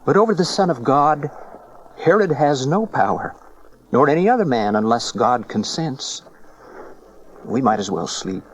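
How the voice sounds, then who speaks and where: A man's voice: mid-range voice, big pitch swings, measured pace, long pauses, a little hiss or hum, low-quality recording. An actor playing a serene, faithful wise man in a dramatized audio Bible, speaking earnestly and reassuringly. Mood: calm conviction.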